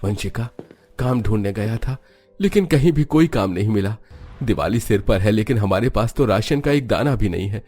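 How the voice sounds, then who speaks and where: A man plays a poor husband and father out of work in a cartoon tale. His low voice is worried, despondent and apologetic, over background music. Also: expressive, normal speed, a little mellow, dry recording.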